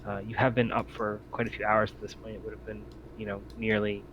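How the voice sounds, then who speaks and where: A man's voice: fairly low pitch, little pitch movement, quick pace. Male game master, informal and hedging, casual tabletop chat, dull muffled sound with background noise. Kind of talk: casual talk running a tabletop RPG session.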